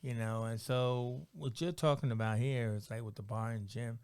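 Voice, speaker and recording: A man's voice: medium pitch, steady tone, normal speed, darkish tone, smooth, dry recording. An American man hosting a casual interview podcast, rambling and joking with lots of "like" and "you know". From United States. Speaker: podcast host.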